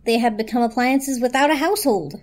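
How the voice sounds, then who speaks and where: A woman storyteller reads scripted narration from a storybook. Her voice is on the high side, in a dramatic reading style. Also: a little fast.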